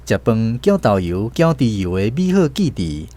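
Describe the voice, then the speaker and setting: A man with a Taiwanese accent giving expressive, scripted storytelling narration for a radio drama. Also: on the low side, moderate pace. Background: plucked guitar music.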